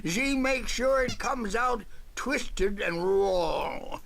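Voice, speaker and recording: An actor playing a frail, tired, eccentric old rock musician in a video game, with a high cracked voice and wandering, halting talk. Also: male voice, expressive, creaky, fairly bright tone, exaggerated voice. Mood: wry. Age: older. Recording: noisy game capture with clicks.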